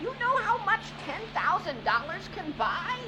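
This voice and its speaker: Excitable, very high-voiced boy. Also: moderate pace, faint music behind, heavily acted, rough recording. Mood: indignant, awed. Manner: dramatic, eager. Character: excitable, boastful boy playing deputy. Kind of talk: 1970s radio drama acting. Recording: clear engine noise behind voice. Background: car engine noise.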